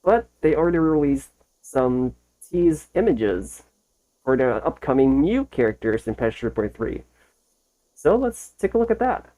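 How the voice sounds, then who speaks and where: A reaction video host giving casual commentary in short, halting phrases, thinking aloud. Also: mid-range voice, big pitch swings, mellow, rough recording.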